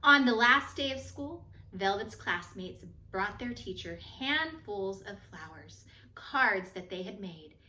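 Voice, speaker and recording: A woman reading a children's picture book aloud in an expressive, measured storytelling manner, with phrases that trail off softer. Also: mid-range voice. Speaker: storybook reader. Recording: echoey room.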